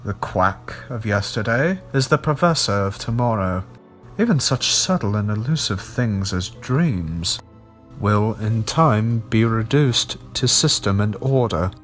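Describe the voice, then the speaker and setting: A man voicing a learned, knowing gentleman collector in a horror story, lecturing formally and deliberately. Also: lowish voice, expressive, faint music behind. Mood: pedantic, assured.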